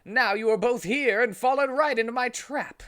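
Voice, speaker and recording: A man voicing a gloating, theatrical male villain with loud, declaiming delivery in a story reading. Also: extremely high pitch, normal speed, voice pushed up. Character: gloating, theatrical male villain laughing over his trap.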